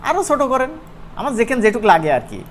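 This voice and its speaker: Over a noisy recording, an instructor with a regional Bangladeshi Bengali accent runs an informal software tutorial. The pitch moves around in a lively way. The talk is conversational, with frequent checking questions to the learners. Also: fast talker. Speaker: animation course instructor.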